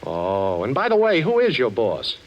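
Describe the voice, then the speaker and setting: An actor playing a suave, sarcastic ex-thief turned detective in a 1940s radio drama, smooth-talking and unflappable. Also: male voice, mid-range voice, huge pitch swings, moderate pace. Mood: wry, cool. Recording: muffled, hissy old 1940s radio recording.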